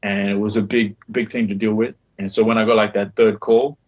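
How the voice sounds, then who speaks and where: A man's voice: fairly low pitch, flat delivery, brisk, darkish tone, lo-fi sound. A man in his thirties with a faint South African accent, a podcast guest telling his story candidly and swearing freely. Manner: candid, swears freely, repeats "you know what I mean". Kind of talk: casual interview storytelling.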